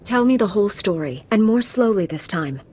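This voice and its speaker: A woman's voice: lively intonation, a little fast, darkish tone. A woman playing a composed, resolute female knight in a science fiction video game. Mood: calm, questioning. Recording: clear background noise, low rumble.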